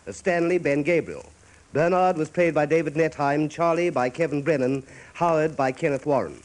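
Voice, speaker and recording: A man's voice: fairly high pitch, a little mellow, poor audio. A man presenting formally as producer-director of a 1950s radio theatre show. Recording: vintage radio broadcast with audience noise.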